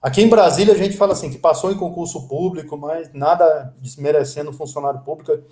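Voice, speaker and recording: A man in his fifties from Brazil, a guest telling stories in a relaxed, chatty way. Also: fairly high pitch, quick pace, reverberant. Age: 50s. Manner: storytelling, lively and chatty. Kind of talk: casual interview chat.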